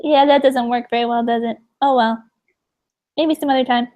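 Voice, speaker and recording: A woman's voice: on the high side, fairly even intonation, normal speed, mellow, lo-fi sound. A woman hosting a casual interview, friendly and encouraging. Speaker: interviewer host.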